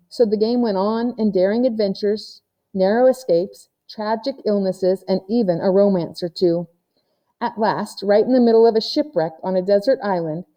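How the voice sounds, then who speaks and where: A woman reads a children's story aloud as narrator. Her voice is very mellow and dark-toned, sitting at an ordinary pitch for a woman. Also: poor audio. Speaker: audiobook reader.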